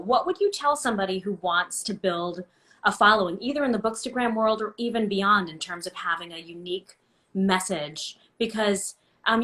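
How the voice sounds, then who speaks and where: Bright-voiced woman interview host. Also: medium pitch. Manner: conversational, repeats words as she starts phrases.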